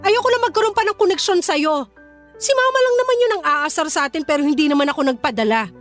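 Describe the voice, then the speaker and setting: A young Filipino woman who is hurt and fed up. Her voice sits extremely high and she scolds with firm emphasis. It is radio drama, with soft music underneath. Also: lively intonation, fast. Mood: indignant. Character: hurt, indignant young woman standing up for herself. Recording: music behind the voice.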